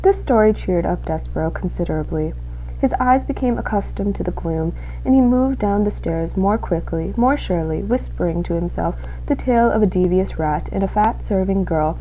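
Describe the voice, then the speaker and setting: A young woman reading a children's storybook aloud as an expressive narrator. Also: medium pitch, moderate pace, a little hiss or hum, poor audio. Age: young adult. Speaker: student reader.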